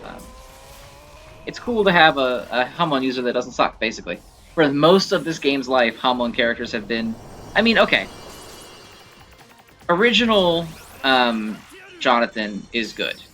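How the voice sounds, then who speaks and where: A man with a bright, forward voice talks through gameplay casually and informally. His delivery is animated and rambling, at an ordinary male pitch. Music plays faintly behind him. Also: moderate pace, long pauses. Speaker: gameplay showcase host. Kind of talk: casual game commentary.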